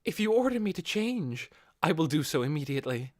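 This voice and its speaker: Audiobook role: devoted, gushing female attendant to a dark lord, played by a male reader. Raised pitch, lively swoops, formal. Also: fairly quick, no echo, clean studio sound. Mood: coy, eager. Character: adoring, eager female servant of an undead lord.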